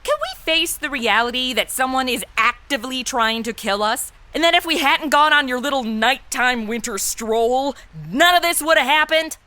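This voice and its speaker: A woman's voice: high-pitched, normal speed, bright, forceful tone. A woman playing a blunt, exasperated, sarcastic superhero sidekick in a comic audio drama.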